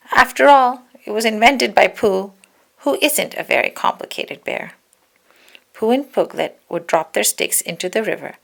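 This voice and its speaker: A woman narrates a children's picture book aloud. Her voice sits at a middling pitch with plenty of creak in it. She reads expressively, as a story for young listeners. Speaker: storybook reader.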